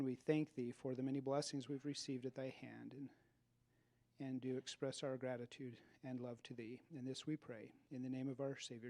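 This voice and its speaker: A man with an American accent leads a formal prayer at a public gathering. His voice is mellow and mid-pitched, and his wording is reverent, measured and old-fashioned. He speaks into a mic in a hall. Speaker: prayer leader at an event. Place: event hall.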